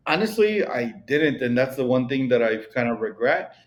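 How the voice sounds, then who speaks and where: A man's voice: mid-range voice, very animated, moderate pace, muffled recording. A middle-aged man with a Mexican accent, a podcast guest talking casually and rambling, with many "you know" and "like" fillers. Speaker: podcast guest, real estate broker. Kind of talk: casual interview conversation.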